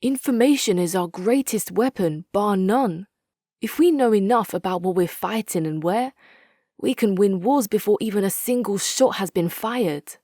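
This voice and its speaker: An actress playing a hard-nosed, articulate female military commander in a strategy game, speaking in a measured, wry, authoritative way. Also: medium pitch, animated, mellow, no room sound, studio quality, natural voice. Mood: firm. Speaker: video game faction leader. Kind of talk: dramatic scripted game quotes.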